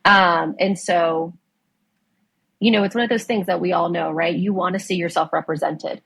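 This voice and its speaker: Husky-voiced woman, a native Spanish speaker. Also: lowish voice, steady tone, moderate pace, fairly bright tone, no echo. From United States. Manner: enthusiastic, many fillers and restarts. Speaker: ocean conservation director, guest. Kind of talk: conversational interview.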